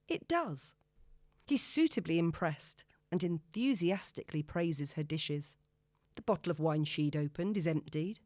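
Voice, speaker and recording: A woman's voice: on the low side, darkish tone, no echo, clean studio sound. A woman reading scripted novel narration as an audiobook narrator.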